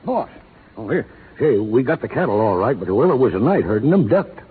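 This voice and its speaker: An actor playing an eager cowhand in a posse, talking in brisk, rough cowboy speech. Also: male voice, mid-range voice, very animated, slight background noise, close to an everyday voice. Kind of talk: 1930s radio acting. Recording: echoey, tinny 1930s radio broadcast.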